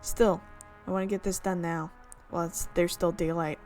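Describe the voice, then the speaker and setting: A woman's voice: lowish voice, level tone, moderate pace, darkish tone. Young woman streaming a visual novel, reads it out then chats, faint music behind. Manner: casual, chatty asides and giggles between narration. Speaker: let's play streamer. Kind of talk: reading a visual novel aloud with commentary.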